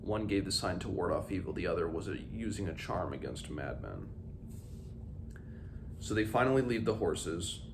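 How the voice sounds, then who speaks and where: A man with a low-pitched voice talks through a book as a read-along host, reading and commenting informally. His phrasing comes in hesitant starts and stops. There is clear background noise in the recording. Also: lively intonation, normal speed. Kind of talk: book discussion with read-aloud passages. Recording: clearly noisy background ambience.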